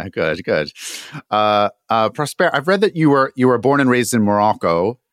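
Low-voiced male podcast interviewer. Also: lively intonation, unhurried, no echo, clean studio sound. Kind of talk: casual interview.

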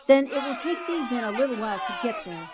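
A woman plays a resolute newspaperwoman in old radio drama style. Her high, animated voice is urgent, over crowd noise. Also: fast talker, lightly acted. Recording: noisy crowd sound effects behind.

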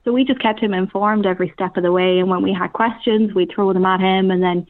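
A woman's voice: medium pitch, fairly even intonation, fast, dry recording. Cork woman on a tinny phone-like line. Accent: Irish, from Cork. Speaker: theatre director being interviewed. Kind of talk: casual radio interview. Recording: very phone-like, narrow-band sound.

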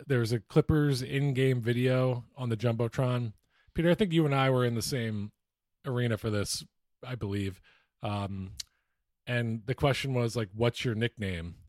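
A man with a mid-pitched voice talks casually as a podcast host, joking about sports. He is mocking and freely profane, and the sound is close and dry. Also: a little slow, a little mellow, dry recording. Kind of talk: casual comedic sports chat.

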